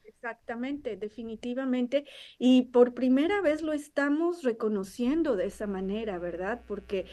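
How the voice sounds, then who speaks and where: Woman radio cohost, soft-edged warm tone, kinda high, reflective chat. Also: animated, normal speed. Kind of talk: conversational radio discussion.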